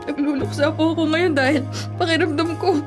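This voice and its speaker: Lady acting as sad young daughter, really high mournful voice, radio drama with music behind. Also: fairly even intonation, a little fast, natural voice. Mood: bitter grief. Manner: plaintive, sorrowful. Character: heartbroken, tearful young jilted bride, devout daughter.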